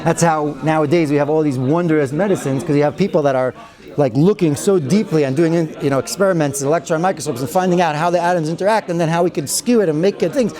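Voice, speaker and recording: A man's voice: fairly high pitch, fast, dark tone. A man teaching a weekly Torah class in a synagogue study hall, chatty and digressive, with wry asides and many Hebrew and Yiddish terms. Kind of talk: informal Torah lecture.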